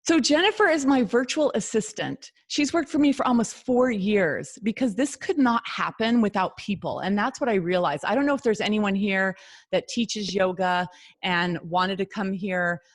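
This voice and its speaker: A woman's voice: mid-range voice, lively intonation, normal speed. Middle-aged female health coach hosting a video-call workshop; chatty, earnest, prone to tangents. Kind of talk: informal online workshop talk.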